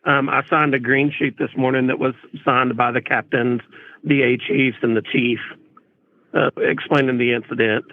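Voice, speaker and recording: A man's voice: medium pitch, flat delivery, normal speed, dry recording. Polite man on dull, dry audio. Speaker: police officer, interview guest. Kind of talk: conversational recounting in an interview.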